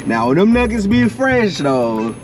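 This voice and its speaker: A young American man chatting casually in slang as a vlogger, animated and fond of repeating catchphrases. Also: sits high, slow pace, a little hiss or hum. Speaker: vlogger doing a prank on partner. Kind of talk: casual vlog chat with slang. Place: outdoors and in a car.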